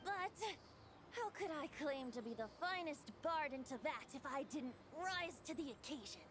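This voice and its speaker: A woman's voice: sits high, animated, moderate pace, noisy background. A woman voicing a youthful boyish bard in a video game, poetic and resolute, with a light theatrical delivery. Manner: theatrical, lyrical. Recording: game audio with music underneath.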